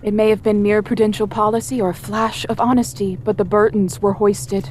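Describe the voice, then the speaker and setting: An actress plays a seafarer narrating a doomed voyage for a radio play. The voice is medium in pitch, measured and literary, a storyteller's delivery. Faint background noise sits underneath. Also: female voice, level tone, a little mellow, lightly acted. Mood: grave. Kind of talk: literary scripted narration in audio drama.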